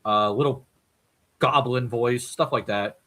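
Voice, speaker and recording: Podcast guest, male, bright forceful tone, chatty and quick to laugh. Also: medium pitch. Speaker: guest voice actor. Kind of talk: casual podcast chat. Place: home streaming setup.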